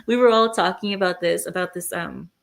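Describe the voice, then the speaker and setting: Woman, gravelly vocal fry, casual livestream chat, blunt and opinionated, hesitant restarts. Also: fairly low pitch, fairly quick, fairly bright tone. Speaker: livestream host. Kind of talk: casual livestream chat, reading viewer comments.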